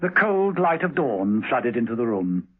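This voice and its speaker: A man's voice: medium pitch, big pitch swings, unhurried, slightly rough. A man reading dramatic scripted narration as the narrator of a 1970s radio drama. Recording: tinny, narrow-band vintage radio recording.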